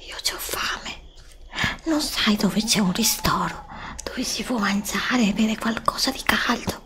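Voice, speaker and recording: Woman doing scared tired girl lost in the woods, asmr roleplay, whispery n slow, plaintive, doesnt trust anyone. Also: medium pitch, fairly bright tone. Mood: distrustful, hesitant. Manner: whispered, breathy, plaintive, hesitant with repeated phrases. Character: frightened, weary, hungry chosen heroine lost in a forest, distrustful. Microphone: close desktop USB microphone.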